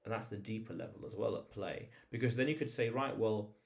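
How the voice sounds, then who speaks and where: A man's voice: lowish voice, fairly quick, creaky. A man hosting a vlog, talking informally to viewers in an explainer monologue, emphatic, repeating phrases and posing rhetorical questions. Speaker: vlog host.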